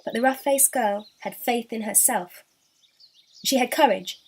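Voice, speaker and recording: A woman storyteller narrating a children's picture book expressively, lingering with deliberate emphasis on key words. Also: on the high side, darkish tone, breathy edge.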